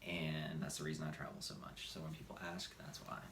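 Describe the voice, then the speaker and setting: Low-voiced young man chatting, echoey room. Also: flat delivery, quick pace, gravelly, fairly bright tone. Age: 20s. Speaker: husband answering viewer questions with his wife. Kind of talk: casual couple Q&A chat. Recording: echoey room with background noise.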